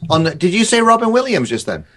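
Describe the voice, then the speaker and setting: A man chatting casually about films as a podcast guest, talking in a halting, hesitant way. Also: high voice, very animated, fairly bright tone. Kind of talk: casual film chat.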